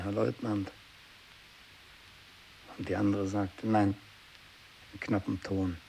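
An actor playing a tormented, fanatical renegade missionary who worships evil, in a feverish radio drama monologue from the 1960s. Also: male voice, vocal fry, poor audio. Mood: tense, brooding. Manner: feverish, swinging from hushed whispering to shrill ecstatic cries. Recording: hissy 1960s radio recording.

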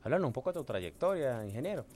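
A man's voice: mid-range voice, very animated, fast, a little mellow, soft background music. A man from Guárico, Venezuela, with a llanero accent, interviewing guests in a radio studio booth.

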